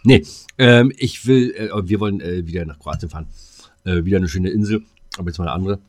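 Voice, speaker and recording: A man's voice: low voice, brisk, no echo. A man, co-hosting a casual podcast in a blunt, colloquial way, sometimes talking while eating. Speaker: podcast co-host.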